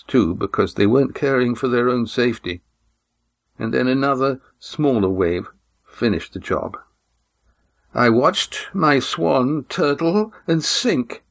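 A man voicing a tired, testy old woman ship commander who speaks bluntly and flatly. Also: medium pitch, gravelly, pitched above the performer's own voice. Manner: testy, flat and factual.

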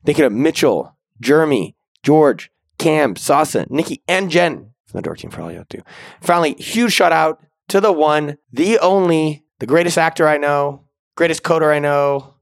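A man hosting a podcast, upbeat and slangy, with a slightly raspy voice. He chats casually and closes the show with shout-outs. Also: fairly high pitch, expressive, normal speed, no room sound, clean studio sound. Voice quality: a little raspy. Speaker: podcast host. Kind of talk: casual podcast chat and outro.

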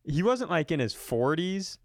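A man hosting a comedy podcast, telling stories in casual banter with animated, profane, exaggerated incredulity. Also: fairly high pitch, unhurried, creaky, no room sound, studio quality.

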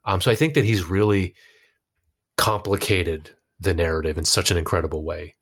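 A man with a notably low voice and an American accent speaks as a guest in a casual interview. His phrasing is informal and loose, circling back and feeling for words as he goes. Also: moderate pace, fairly bright tone, husky. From United States. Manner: informal, hesitant phrasing with frequent "like" and "you know". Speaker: interview guest, actor and musician.

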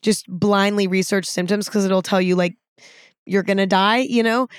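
A young woman in her twenties with a raspy voice, talking frankly and casually on her own podcast, with some swearing. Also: mid-range voice, fairly even intonation, moderate pace, dry recording, clean studio sound. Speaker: podcast host. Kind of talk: candid solo podcast talk.

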